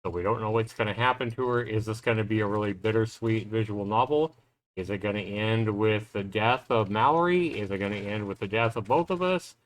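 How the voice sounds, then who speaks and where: Low-pitched man hosting a game livestream. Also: fairly quick, rough recording. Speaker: livestream host playing a visual novel. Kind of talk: casual livestream recap, then reading game text aloud.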